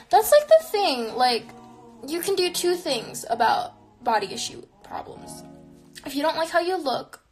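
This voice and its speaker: Young woman, slightly high voice, casual live-stream chat, faint music behind, phone-camera close. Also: sing-song, measured pace, pauses often, darkish tone. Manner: chatty, frequent "like" fillers, reflective. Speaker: streamer answering viewer questions. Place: small bedroom with neon lights, indoors. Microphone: phone camera close to face.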